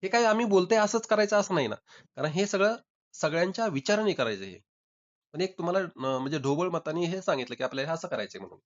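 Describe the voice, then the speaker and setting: Guy on a lofi phone call, talks really fast, pushy debating, repeats himself. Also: a little high, expressive. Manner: insistent, argumentative, repeating himself. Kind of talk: informal debate. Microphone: recorded phone call. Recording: dull lo-fi call recording.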